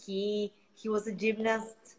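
A woman's voice: medium pitch, little pitch movement, slow, rough recording. Woman with a Costa Rican Spanish accent. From Costa Rica. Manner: friendly, rambling, repeats words while searching for them. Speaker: guest, founder of a beach cleanup project. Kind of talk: casual interview answers.

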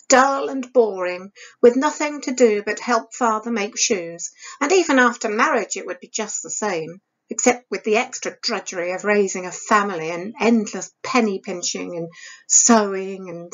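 Woman voicing a high, dreamy, dramatic young woman. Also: muffled recording, lightly acted. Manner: gushing, dramatic, sighing, drawn-out emphasis. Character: dreamy, passionate, restless young woman, older sister. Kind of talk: audiobook character voice.

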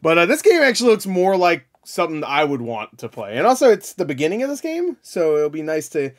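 A man's voice: fairly high pitch, huge pitch swings, fairly quick. A man in his thirties giving casual, profane reaction commentary in a joking, sarcastic, exclamatory way. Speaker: reaction video host. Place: small furnished room at home, indoors. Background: game trailer music and sound.

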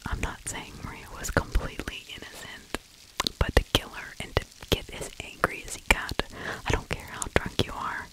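A middle-aged woman whispering true crime ASMR close to the microphone, breathy, with opinionated conversational asides. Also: moderate pace, rough recording. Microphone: close microphone with furry windscreen.

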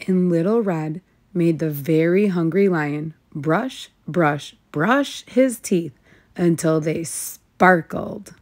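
A woman narrating a children's story as a read-aloud, deliberately stressing and stretching key words. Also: lowish voice. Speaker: storybook reader, teacher.

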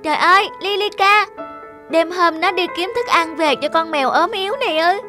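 A woman playing a kind-hearted young female dog owner in an animated moral tale, speaking earnestly. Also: very high-pitched, normal speed, over music. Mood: amazed, tender.

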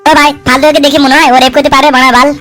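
A woman's voice: sits high, level tone, very fast, fairly bright tone. A woman voicing a wily, cheeky male sidekick in a comic cartoon, chattering, argumentative and coarse-mouthed. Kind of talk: comic cartoon dub dialogue.

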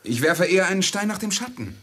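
An actor in a 1950s radio play, playing a merchant's loyal, anxious servant, deferential and urgent. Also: male voice, high-pitched, sing-song, quick pace, fairly bright tone, husky, full character voice. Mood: soothing.

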